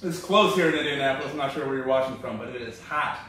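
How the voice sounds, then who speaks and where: A man hosting a comedy podcast, chatting casually while tasting beer, relaxed with lots of fillers. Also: medium pitch, fast, a little hiss or hum. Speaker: comedy show host. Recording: roomy echo, from a distance.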